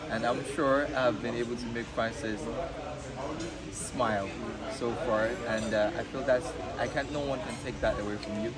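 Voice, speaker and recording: A young Nigerian man with a Nigerian accent, a native Yoruba speaker, answering interview questions in an earnest, measured way. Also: medium pitch, steady tone, over loud music. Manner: earnest, measured, speaks of himself in third person at times. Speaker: pageant contestant. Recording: loud crowd noise behind. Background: crowd chatter.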